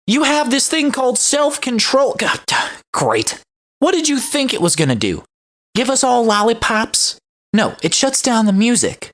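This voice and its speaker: A woman's voice: on the high side, very animated, unhurried. A woman playing a folksy, friendly host of a kids' lesson segment, easily exasperated, in an audio drama. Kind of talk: folksy kids' show presenting.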